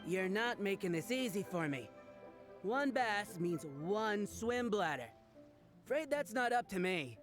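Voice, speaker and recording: An eager, earnest fish seller in a video game, easily exasperated by customers. His salesmanlike voice sits very high for a man and bounces around in pitch. Music plays clearly behind him. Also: very animated, a little slow. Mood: baffled. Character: eager, exasperated male fishmonger hawking fresh seafood.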